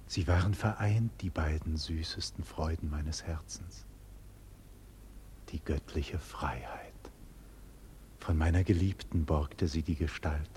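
An actor playing a proud, defiant condemned nobleman in a 1940s radio drama, declaiming solemnly and theatrically with a husky voice. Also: extremely low pitch, fairly even intonation, darkish tone, slight background noise. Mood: hushed, dreamy awe. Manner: solemn, slow, theatrical declamation with wide dynamic swings. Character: condemned heroic nobleman facing execution, proud, visionary, defiant. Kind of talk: 1940s German radio drama acting, classical stage declamation. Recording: 1940s radio recording with long hall reverb.

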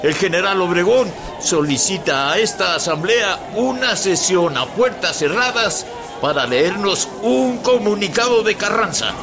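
A man's voice: high voice, lively intonation, a little slow. An actor playing a formal, insistent assembly chairman calling delegates to order in a radio drama. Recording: loud crowd behind the voice.

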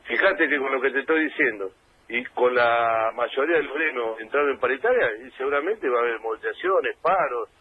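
A man's voice: mid-range voice, fairly quick, a little hiss or hum. A man with an Argentine accent giving analysis as an interviewee on the radio. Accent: Argentine Spanish. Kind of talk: spoken radio commentary. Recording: tinny phone-like radio line.

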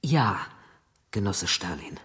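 A woman's voice: a bass voice, big pitch swings, slow pace, exaggerated voice. An actress playing a wary, frightened woman agent held by the Soviets, speaking in a hesitant, guarded way in a German radio drama.

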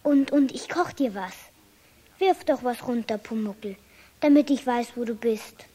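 Casting: small girl, high voice, affectionate, eager and headstrong, children's radio play. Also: a little slow, dark tone, breathy edge. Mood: hushed, coaxing. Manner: pleading, childlike.

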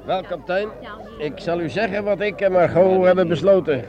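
An actor playing a brave, sceptical sergeant in a Dutch radio drama, hesitant and repeating himself when pressed. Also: male voice, high voice, normal speed, lo-fi sound, close to an everyday voice. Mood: defiant, cool.

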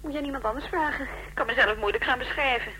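An actress playing an aloof, mocking twenty-year-old daughter in a radio play, answering curtly. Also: female voice, high-pitched, fast, sharp tone. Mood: cool, curt. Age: young adult. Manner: curt, mocking. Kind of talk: Dutch radio drama acting. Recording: noisy, humming radio play recording.

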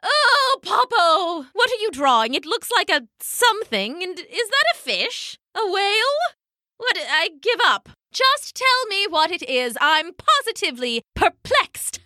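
A fussy old female penguin from a children's storybook, acted out by a woman. The voice is high and bright, leaping about with indignation. The phrasing is exclamatory and full of alliteration. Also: very animated, unhurried, dry recording, clean studio sound, exaggerated voice. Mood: perplexed.

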